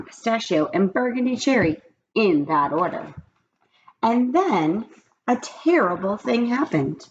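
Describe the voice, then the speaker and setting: Animated woman reading aloud to children. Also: mid-range voice, creaky, lo-fi sound. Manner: expressive, simple phrasing for children. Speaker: teacher reading aloud to pupils. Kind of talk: children's storybook read-aloud.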